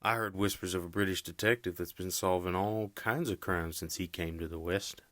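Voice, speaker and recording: An actor playing a stern Old West lawman with a slow, laconic drawl. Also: low-pitched, steady tone, a bit bright, no echo.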